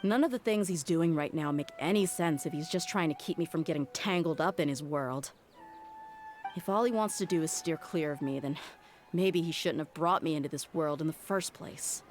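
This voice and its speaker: An actress playing a tough, blunt young mercenary, a wounded and exasperated daughter, in a video game. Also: female voice, deep voice, normal speed, soft background music, lightly acted. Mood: hurt, angry. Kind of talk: video game character acting.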